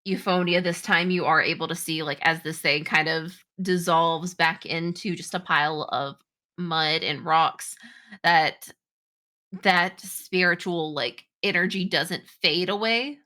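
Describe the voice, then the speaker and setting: Woman, game master, bright forward voice, lowish pitch, informal tabletop narration. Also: steady tone, measured pace. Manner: informal phrasing full of "like".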